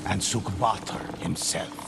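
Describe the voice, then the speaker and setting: A man narrating a game story in a measured, solemn way. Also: deep voice, steady tone, vocal fry, heavy background noise.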